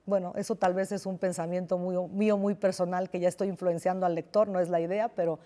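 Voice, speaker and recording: Escritora italiana de voz grave y cálida. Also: female voice, on the low side, flat delivery, fast, dark tone. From Italy. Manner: thoughtful, hesitant, many restarts and fillers. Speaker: novelist guest. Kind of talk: television interview.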